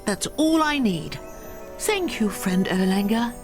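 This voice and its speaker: A calm, unhurried elf heroine. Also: female voice, fairly high pitch, faint music behind. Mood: determined. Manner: composed, measured, brief. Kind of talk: video game cutscene voice acting.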